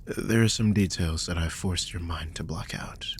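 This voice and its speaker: A man playing a protective, guilt-ridden magical guardian in an intimate roleplay audio drama, speaking softly and hesitantly in a husky, breathy voice that often drops toward a whisper. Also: sits low, moderate pace, a little hiss or hum, lightly acted. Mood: guilty, hesitant. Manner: soft, hesitant, soothing, with frequent sighs.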